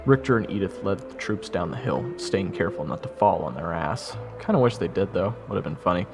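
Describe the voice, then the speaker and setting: A man's voice: deep voice, lively intonation, fairly quick, music in the background. A man playing a wry soldier who narrates his own fantasy story in a matter-of-fact way. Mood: wry, watchful. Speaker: narrator of audio roleplay. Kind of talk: first-person scripted narration.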